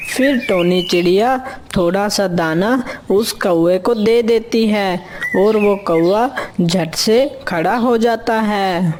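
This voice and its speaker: A woman's voice: mid-range voice, slow, darkish tone, slight background noise. A woman narrator reading a scripted children's story.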